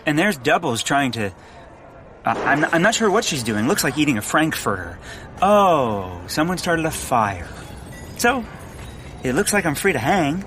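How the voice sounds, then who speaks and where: A man's voice: a little high, big pitch swings, measured pace, noisy background. A man playing an earnest, naive and socially awkward amateur sleuth who rambles, in a comedic audio drama. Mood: awkward, hopeful.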